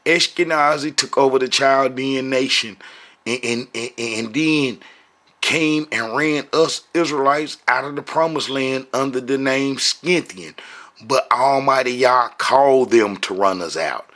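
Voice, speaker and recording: A man with a forceful, bright voice at a medium pitch, teaching religion off the cuff. He drives points home emphatically, repeating phrases as he goes. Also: a little slow. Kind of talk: impromptu commentary and preaching.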